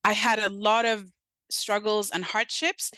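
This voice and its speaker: A woman's voice: medium pitch, flat delivery, unhurried, bright, forceful tone, no echo. A woman from Sudan, probably in her thirties, with a Sudanese accent tinged with British. She speaks as a guest in a podcast conversation, earnestly and emphatically, and often checks in with "right?". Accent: Sudanese, with a light British lilt.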